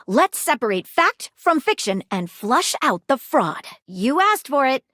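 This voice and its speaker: A prim and eager female detective from a video game. The voice sits high and moves in big, lively swings, recorded very dry. The delivery is articulate and theatrical, with precise, old-fashioned turns of phrase. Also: a little slow, no room sound, exaggerated voice. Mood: composed, inquisitive. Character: prim, eager, dramatic woman detective, a datable household object. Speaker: voice actor in a dating simulator game. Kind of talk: video game character dialogue.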